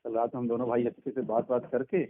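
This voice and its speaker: A man's voice: medium pitch, level tone, fast talker. A man chatting casually on a phone call, informal, familiar and reassuring as he answers questions. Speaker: artist's manager, interviewee. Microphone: telephone. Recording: tinny phone call recording.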